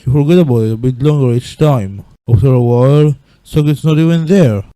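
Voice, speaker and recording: A big, kindly goat-monster dad from a comic dub, acted by a man. The voice drops low and moves slowly, with a weary, hopeful, reassuring fatherly tone. The sound is dry and close. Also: mellow, dry recording, natural voice. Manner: slow, reassuring, fatherly advice.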